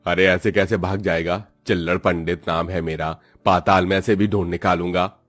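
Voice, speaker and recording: A man playing a gruff, scolding boss and landlord in a cartoon story. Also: low voice, steady tone, moderate pace, a bit bright, soft background music. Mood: threatening. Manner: scolding, brusque. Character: bossy, gruff male overseer and threatening landlord.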